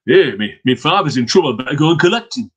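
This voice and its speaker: A man's voice: on the high side, animated, fast, slightly rough, highs cut off. A man voicing a blunt, bossy young man. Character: brash young man, angry son.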